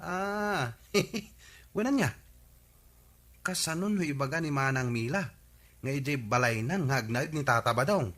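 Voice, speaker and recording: A man playing an excitable villager who eagerly reports on the neighbors in a radio comedy drama, with an Ilocano accent. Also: on the high side, unhurried, pauses often.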